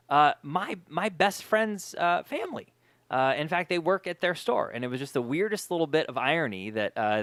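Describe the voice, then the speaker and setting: A man's voice: on the high side, a bit bright, no echo. A man hosting a podcast in casual chat, speaking emphatically and often repeating words for emphasis. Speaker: podcast host.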